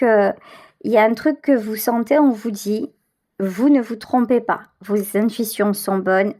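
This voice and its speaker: A woman's voice: fairly high pitch, a little mellow. A woman giving a tarot reading straight to the listener, conversational and emphatic, repeating words for stress. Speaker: tarot reader, channel host.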